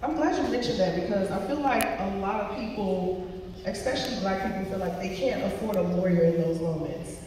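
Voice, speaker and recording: A woman's voice: medium pitch, brisk, darkish tone. A woman moderating a panel on stage in a conversational way. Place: on stage in a large auditorium. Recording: distant, echoey theater hall, faint noise.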